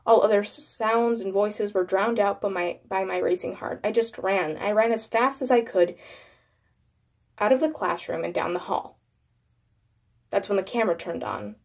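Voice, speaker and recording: Woman reading creepypasta, dramatic narrator style, normal mid pitch. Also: normal speed, gravelly. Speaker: horror story narrator.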